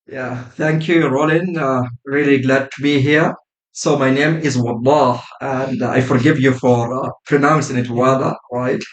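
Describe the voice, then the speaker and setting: A man in his thirties from Sudan, a guest on a podcast, speaking in a measured way and often adding "right?" as he talks. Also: medium pitch, fairly even intonation, reverberant, low-quality recording. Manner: measured, with frequent "uh" and "right?" tags. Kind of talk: casual podcast conversation.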